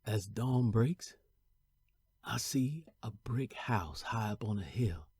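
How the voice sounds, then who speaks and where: A male voice actor playing a young enslaved man fleeing to freedom, weary but determined, in a husky, breathy, heartfelt first-person historical narration. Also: fairly low pitch, lively intonation, slow pace, a little mellow, no room sound, studio quality, full character voice. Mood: weary, intense. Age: young adult.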